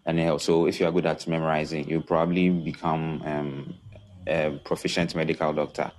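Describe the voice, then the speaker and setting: A man's voice: rumbling low, flat delivery, moderate pace, darkish tone, slight background noise. A man calling in to a live talk show, explaining his points one by one and often checking that listeners follow. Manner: methodical, numbers his points and checks understanding. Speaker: caller giving input. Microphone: remote call-in line.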